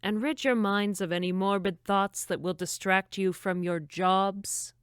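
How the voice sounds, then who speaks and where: A woman's voice: medium pitch, steady tone, a little slow, clear, resonant tone, dry recording, clean studio sound, close to an everyday voice. A woman playing a prim, condescending female camp director in a horror audio drama, coolly polite. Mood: stern, dismissive. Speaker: voice actor.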